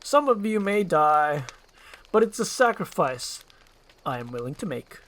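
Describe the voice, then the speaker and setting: A woman's voice: sits low, very animated, unhurried. A woman voicing a pompous, scheming evil lord who gives commanding speeches in a storybook roleplay. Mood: grandiose.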